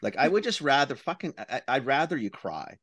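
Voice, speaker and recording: A man's voice: medium pitch, big pitch swings, brisk, a bit bright, no echo, studio quality. A middle-aged man co-hosting a podcast, blunt and emphatic, swearing freely, talking over a video call on a headset. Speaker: podcast co-host. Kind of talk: casual chat on a video call.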